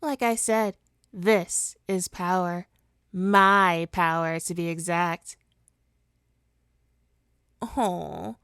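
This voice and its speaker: Woman playing a slow, smug, smooth-voiced female supervillain. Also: medium pitch, fairly bright tone, no echo, clean studio sound. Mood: hypnotic, gloating. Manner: slow, taunting, theatrical, condescending. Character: smug, teasing female supervillain with hypnotic powers. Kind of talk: ASMR roleplay monologue to listener.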